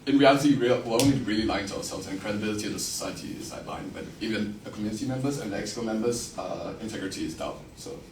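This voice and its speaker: A fast-talking young man from Singapore with a lowish voice and a partly British Singaporean accent. He speaks with dry, self-mocking humour. He is picked up from a distance in an echoey hall with audible noise. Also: darkish tone. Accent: Singaporean-tinged British English. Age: 20s. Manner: wry, self-mocking, many fillers when answering. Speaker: candidate giving a campaign speech. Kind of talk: joking election speech, then answering questions. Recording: echoey room, from afar, noisy.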